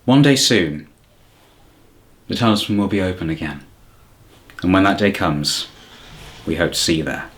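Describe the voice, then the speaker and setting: A man's voice: sits low, expressive, moderate pace, long pauses. A man narrating a scripted documentary as its host.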